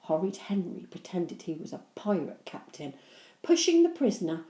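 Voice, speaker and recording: A woman reading a children's story aloud with animated, deliberate, expressive narration. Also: lowish voice. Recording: echoey room.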